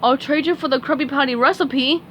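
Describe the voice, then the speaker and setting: A woman's voice: high voice, steady tone, brisk, a bit bright, a little hiss or hum, pitched above the performer's own voice. A woman voicing a tiny scheming male plankton villain, eager and wheedling.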